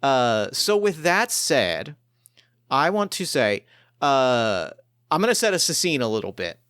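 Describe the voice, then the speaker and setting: A man's voice: mid-range voice, big pitch swings, unhurried, fairly bright tone, smooth, no echo, clean studio sound. A man hosting as game master, chatting casually and narrating a game scene with enthusiasm. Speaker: game master and podcast host.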